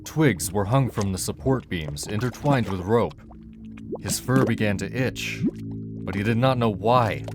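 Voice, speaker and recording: A man with a low voice narrates a dark fairy tale. His delivery is scripted and dramatic, and music plays clearly behind him. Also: a little slow. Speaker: storyteller.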